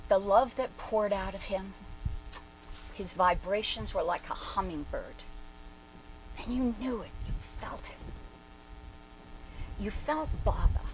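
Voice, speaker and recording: A woman performing a dramatic reading as an awestruck devotee who fondly recalls her spiritual master, theatrical and emphatic, with deliberate pauses. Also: mid-range voice. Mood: rapturous, awestruck. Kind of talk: dramatic reading of a first-person reminiscence. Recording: noisy live recording.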